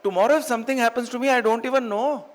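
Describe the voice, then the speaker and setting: A man's voice: very high voice, steady tone, rapid-fire. A middle-aged man with a North Indian accent giving an emphatic motivational business keynote, repeating phrases for effect. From Chandigarh, India. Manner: emphatic, repeats phrases in parallel for effect, switches between English and Hindi. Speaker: keynote speaker, real estate executive.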